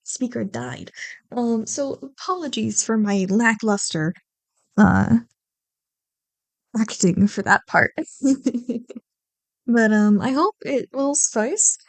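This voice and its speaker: A woman's voice: fairly high pitch, animated, takes it slowly, long pauses, no room sound. A young woman, an ASMR roleplay creator, chatting casually and affectionately to her listeners in a rambling, apologetic way.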